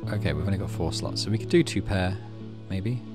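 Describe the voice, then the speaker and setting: A man's voice: low-pitched, a little fast. A man giving casual let's play commentary, thinking aloud and reading game text as he goes. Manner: thinks aloud, muttering through choices. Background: game music.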